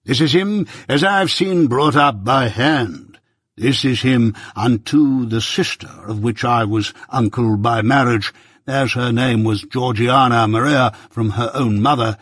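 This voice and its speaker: A man voicing a pompous, self-righteous older merchant who lectures slowly and loudly in a raised, husky voice. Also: mid-range voice, dry recording, clean studio sound. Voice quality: pompous, preaching, drawn-out emphasis.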